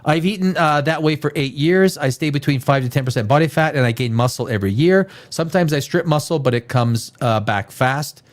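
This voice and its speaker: A man's voice: on the high side, a little fast, hardly any pauses. A man hosting a live stream, chatting casually with his viewers and reading their comments. He rambles and repeats himself, and sounds stuffed up from a cold. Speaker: livestream host.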